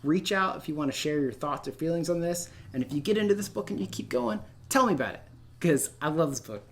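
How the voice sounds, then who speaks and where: A man teaching literature in a read-aloud lesson, speaking in an expressive, informal and encouraging way. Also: fairly high pitch, fast talker, a little hiss or hum. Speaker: middle school literature teacher. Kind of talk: read-aloud lesson, narrating a novel and addressing students.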